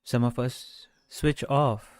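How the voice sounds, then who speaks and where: A man guiding a meditation in a gentle, measured way, speaking off the cuff with long pauses. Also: medium pitch, fairly even intonation, no room sound, studio quality. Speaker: meditation guide. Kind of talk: guided meditation, unscripted talk.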